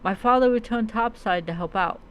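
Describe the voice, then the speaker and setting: A streamer narrating a fantasy adventure tale in a dramatic storytelling style, halting and often stumbling over and repeating words. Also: medium pitch, muffled recording, slight background noise. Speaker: streamer narrating own roleplay character's story.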